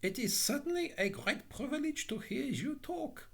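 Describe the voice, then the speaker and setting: A man's voice: very high voice, lively intonation, moderate pace, creaky, pitched above the performer's own voice, exaggerated voice. A man voicing a meek, shy little man in a storybook tale, naively devoted and eager to please. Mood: humble, admiring. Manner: shy, timid, deferential. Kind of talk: theatrical storybook character voice.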